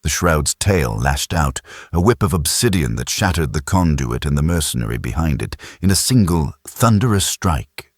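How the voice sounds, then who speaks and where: A man's voice: a bass voice, big pitch swings, moderate pace, no room sound, studio quality. A man narrating a science fiction audiobook in a dramatic, scripted style.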